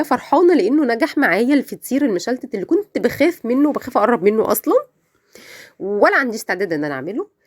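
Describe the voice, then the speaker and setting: A woman's voice: mid-range voice, darkish tone. A woman with an Egyptian Arabic accent giving a chatty, effusive cooking voice-over, her voice slightly off from a cold. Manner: effusive, repeats words for emphasis, addresses viewers directly. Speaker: home cook hosting a recipe channel.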